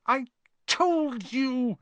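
An indignant storybook schoolgirl, played by a man, pitched high and very lively. She is bossy and scolds with heavy emphasis. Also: normal speed, no echo, muffled recording, heavily acted. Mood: breathless reproach.

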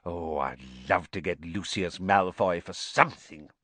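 Storybook father, voiced by a male narrator: middle-aged, mild, boyishly eager, raised animated pitch. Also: measured pace, no echo, full character voice. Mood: grimly satisfied. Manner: eager, excitable.

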